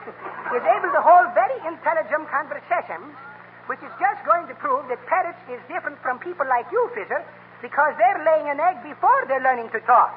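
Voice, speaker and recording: High-pitched immigrant radio neighbor. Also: male voice, lively intonation, brisk. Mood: cheerful rambling. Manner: mangled grammar and muddled words. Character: cheery Greek immigrant neighbor, jolly malaprop talker. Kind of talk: 1930s radio comedy acting. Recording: scratchy 1939 radio broadcast, tinny and noisy.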